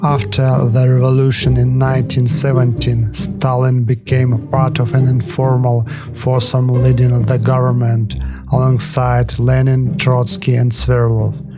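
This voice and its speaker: A man reading a scripted documentary narration in a measured, even way, steadily working through facts and figures. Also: mid-range voice, loud music behind the voice.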